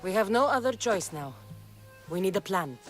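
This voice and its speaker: A woman acts out a hard young female mercenary from a video game. Her delivery is dry, blunt and threatening, with a lively pitch range. Loud music plays behind her. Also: moderate pace, lightly acted. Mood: exasperated.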